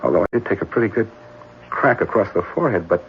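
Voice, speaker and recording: A man playing a smooth, evasive young heir in an old-time radio drama, clipped and impatient, hesitant when pressed. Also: deep voice, lively intonation, fast. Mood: grim, bitter. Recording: muffled, noisy old radio broadcast recording.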